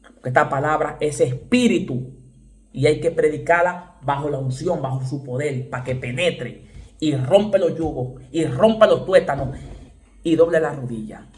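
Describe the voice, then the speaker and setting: A man from the Dominican Republic with a Dominican accent, preaching a fervent sermon, repeating phrases for stress and breaking into sudden shouted exclamations. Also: a little high, normal speed, reverberant. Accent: Dominican Spanish.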